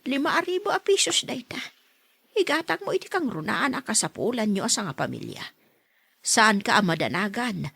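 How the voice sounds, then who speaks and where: An elderly grandmother in a radio drama, kindly and gently insistent. The voice is raspy and animated, with an Ilocano accent, captured close and dry. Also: on the high side, normal speed, dry recording, exaggerated voice. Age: older.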